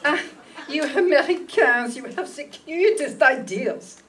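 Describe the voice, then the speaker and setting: A woman's voice: high-pitched, animated, slow pace, a bit bright, full character voice. A woman playing a flirtatious, mysterious dancer in a radio play. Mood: coy, flirtatious. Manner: coy, foreign phrasing. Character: flirtatious, mysterious French female cabaret dancer. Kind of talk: old-time radio acting. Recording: distant room microphone.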